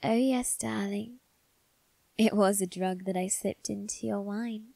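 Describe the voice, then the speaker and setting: A woman voicing a suave male vampire husband in an ASMR roleplay, coaxing softly and often whispering with quiet menace. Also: medium pitch, fairly even intonation, no room sound. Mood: soothing, sinister. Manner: slow, soft, breathy coaxing, often whispered.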